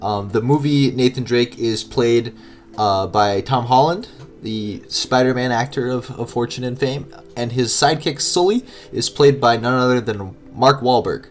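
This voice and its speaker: A man's voice: mid-range voice, a little slow. A man with a stuffed-up, nasal voice co-hosting a podcast in casual, chatty style. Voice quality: nasal, congested. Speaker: podcast co-host.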